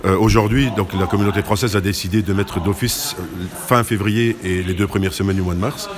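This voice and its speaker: A low-pitched man speaks quickly. He answers as an interview guest, explaining point by point in a conversational way, often stopping to correct himself. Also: steady tone, crowd noise. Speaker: carnival committee president, interview guest.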